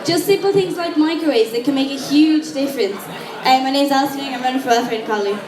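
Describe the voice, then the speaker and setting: A young Irish woman giving a frank, casual campaign speech to a room of students. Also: high voice. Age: young adult. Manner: casual, frank, quick with asides. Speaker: student election candidate. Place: lecture room with an audience. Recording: echoey room with crowd noise.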